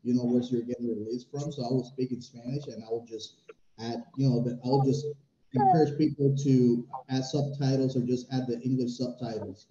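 A man in his forties giving advice on a video call in a measured way, often saying "you know". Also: medium pitch, steady tone, warm tone, a little hiss or hum. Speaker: guest expert. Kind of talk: answering a question on a video call. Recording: dull roomy webcam audio.

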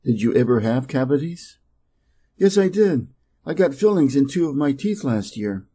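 A man from Wisconsin with an American Midwest accent, an English teacher reading practice dialogues slowly and playing both parts. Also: big pitch swings, dark tone, breathy edge. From Wisconsin, USA. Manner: reads both dialogue parts, raising his pitch for speaker A.

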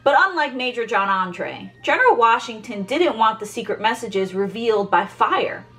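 American woman in her 30s hosting an educational video, really lively pitch, bit of music under it. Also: moderate pace. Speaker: museum educator and host. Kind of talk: scripted educational presentation. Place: small furnished room at home, indoors.

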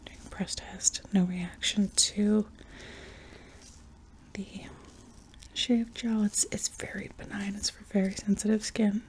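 A young adult woman in a whispered ASMR medical roleplay, breathy and soft, giving reassuring step-by-step instructions up close. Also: mid-range voice, fairly even intonation, slow, pauses often. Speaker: ASMR roleplayer playing an anesthesiologist.

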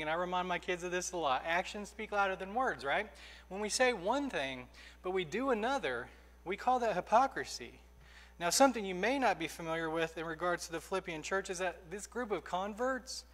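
A man in his thirties preaching a sermon from a church pulpit, earnest and emphatic, slowing down to stress key phrases. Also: high-pitched, fairly bright tone. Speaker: pastor. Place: church sanctuary, indoors. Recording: reverberant church hall.

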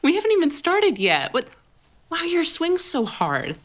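A woman playing a playful, flirty, tender best friend with a crush in an intimate, halting ASMR roleplay. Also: extremely high pitch, huge pitch swings, full character voice. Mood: excited, playful. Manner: teasing, halting, giggly delivery. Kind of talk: intimate ASMR roleplay, acted one-sided conversation.

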